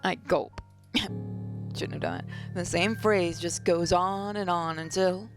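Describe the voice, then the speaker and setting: A woman's voice: fairly low pitch, steady tone, takes it slowly. A woman hosting a gaming video, reading horror story text theatrically and dropping in casual joking asides. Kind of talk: dramatic read-aloud of horror game text with casual asides. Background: game music.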